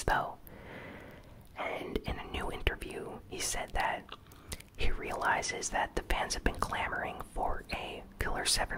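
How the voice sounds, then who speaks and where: A host whispering a casual, conversational ASMR gaming news recap in a breathy, unvoiced whisper. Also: poor audio.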